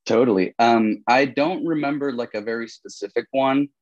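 A man's voice: medium pitch, moderate pace, dry recording, lo-fi sound. A young man from Colombia, a podcast guest talking casually, with lots of "like" and "you know" fillers. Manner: relaxed, rambling, many fillers like "like" and "you know". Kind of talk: casual conversational interview.

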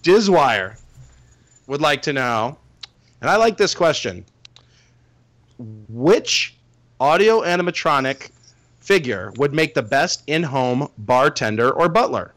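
Loud animated male co-host, dry studio sound. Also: fairly high pitch, no echo. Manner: loud, halting restarts, laughs often. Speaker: podcast co-host. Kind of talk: casual podcast banter.

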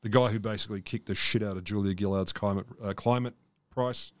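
Deadpan Australian co-host, brisk delivery, low voice, sarcastic asides. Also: male voice, no echo. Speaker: podcast co-host. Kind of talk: casual political chat.

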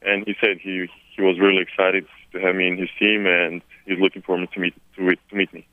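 A young man from Serbia with a Serbian accent, answering reporters on a conference call, halting and repeating words with many fillers. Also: low voice, flat delivery, no room sound. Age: 20s. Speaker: newly drafted basketball player. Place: at home, far from the press. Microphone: telephone. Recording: tinny dry phone line.

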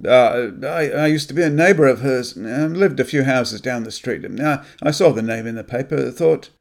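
A man's voice: mid-range voice, a little fast, voice pushed up, lightly acted. A man voicing a frightened, ashamed older man who stammers and halts as he pleads. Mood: nervous stammering.

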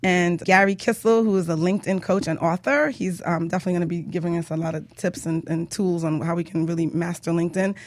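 Fast-talking female talk show host. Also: lowish voice, steady tone, no room sound. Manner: chatty, informal. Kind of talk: casual radio chat.

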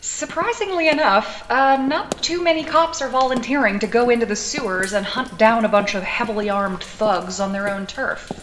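A woman's voice: a little high, moderate pace, hardly any pauses, fairly bright tone, natural voice. A woman playing a tough, inquisitive character in a cyberpunk audio drama, talking in a wry, teasing, sardonic way. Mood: wry, probing. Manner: sardonic, probing, playful. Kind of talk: naturalistic audio drama acting. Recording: echoey sewer-like space, background noise.

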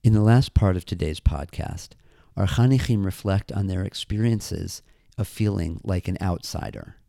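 Low dark-voiced guy reading podcast intros off a script, dry sound. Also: expressive, normal speed, gravelly, dry recording. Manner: reads from a script. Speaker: camp podcast host. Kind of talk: scripted narration.

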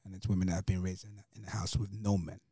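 A man with a low, mellow, husky voice speaks as a podcast panellist. His style is casual discussion, recorded dry. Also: flat delivery, quick pace, no room sound.

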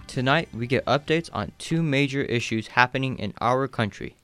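A man's voice: medium pitch, moderate pace, bright, forceful tone, clean tone, soft background music. A man co-hosting a radio program, reading scripted introductions in a formal announcing manner. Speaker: radio show co-host.

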